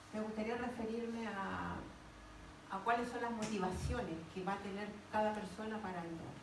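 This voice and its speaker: A woman with a Chilean Spanish accent giving an unscripted personal testimony at a public talk, often repeating words for emphasis. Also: mid-range voice. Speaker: panel speaker, lodge member. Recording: echoey, noisy room, distant microphone.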